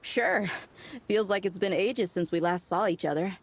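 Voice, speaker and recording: A woman's voice: mid-range voice, moderate pace, slight background noise, close to an everyday voice. A woman playing a casual, chatty, friendly desert mercenary in a video game, with music behind her. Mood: upbeat, chatty. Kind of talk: video game character acting.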